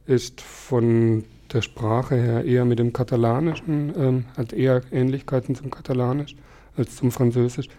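A man in his forties answers interview questions in a measured, explanatory way, pausing often to find his words. His voice is mellow and warm rather than bright. His first language is Alemannic. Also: medium pitch, fairly even intonation. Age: 40s. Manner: measured, explanatory, frequent filler pauses. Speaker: podcast guest. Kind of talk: conversational interview.

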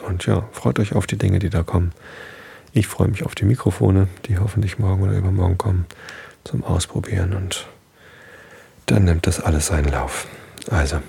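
Man with a head cold, nasal, low gravelly voice, husky, calm bedtime story narration, dry close mic. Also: normal speed, dry recording. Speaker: podcast host. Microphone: close podcast mic.

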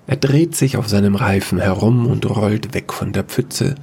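A man narrating a sci-fi fairy-tale audio story in a measured storytelling manner. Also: on the low side, vocal fry, darkish tone, breathy edge.

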